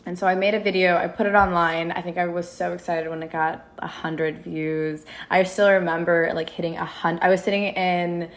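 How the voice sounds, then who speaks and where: A woman's voice: fairly low pitch, flat delivery, moderate pace, hardly any pauses. A woman in her twenties from Minneapolis, a content creator, chatting casually as a podcast guest with lots of restarts. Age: 20s. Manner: chatty, many restarts and fillers. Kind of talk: casual interview chat.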